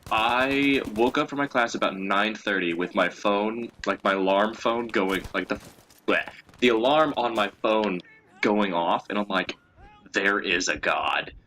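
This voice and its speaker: A man's voice: fairly low pitch, measured pace, sharp tone, a little hiss or hum. A young man chatting casually and telling stories as a co-host, in a crude, profanity-laden way. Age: young adult. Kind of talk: casual chat, storytelling.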